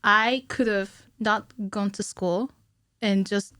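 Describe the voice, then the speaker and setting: A woman's voice: mid-range voice, fairly even intonation, slow, pushed and bright, smooth, dry recording, clean studio sound. A woman around her thirties in casual interview chat as a guest, explaining things in a giggly, informal way. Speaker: podcast guest, animator. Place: small indoor podcast studio. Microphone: close studio mic on a stand.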